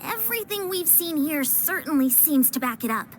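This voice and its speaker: A woman's voice: high-pitched, big pitch swings, normal speed, background noise. A woman voicing a thoughtful, caring young female adventurer in a video game, analytical in manner.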